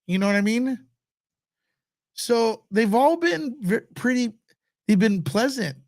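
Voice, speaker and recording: A man's voice: very high voice, lively intonation, slow pace, darkish tone. A man with a nasal voice hosting a casual livestream, answering viewer questions in a laid-back way. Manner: laid-back, laughs often, mock radio-announcer bits. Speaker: show host.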